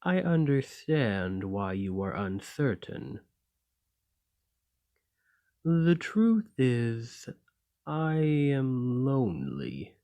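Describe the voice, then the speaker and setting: A man voicing a gentle, lonely golem in an ASMR roleplay, speaking in a formal, measured and courteous way. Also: mid-range voice, big pitch swings, heavily acted. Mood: lonely, confiding.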